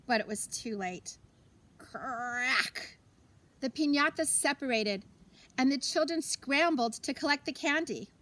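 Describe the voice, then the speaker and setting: A woman with a high voice reads a picture book to children. She goes slowly and deliberately, pausing for effect and shaping each phrase expressively, like a teacher at story time. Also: expressive, a bit bright. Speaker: teacher reading a story aloud.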